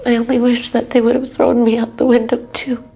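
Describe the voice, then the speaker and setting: A woman's voice: fairly high pitch, little pitch movement, brisk, creaky, mellow, soft background music. A woman playing a trapped, defiant young noblewoman in an audio drama. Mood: tearful, regretful.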